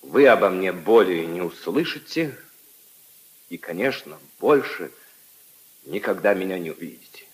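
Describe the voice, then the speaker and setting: An actor playing a lovelorn minor official, resigned, earnest and polite, in a solemn 1950s radio drama style. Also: male voice, low voice, lively intonation, measured pace, pauses often, poor audio. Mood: resigned sorrow.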